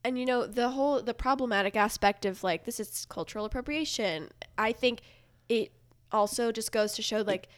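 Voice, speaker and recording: A woman's voice: a little high, moderate pace. A woman guest comedian chatting casually about pop culture, often quoting other people in acted asides.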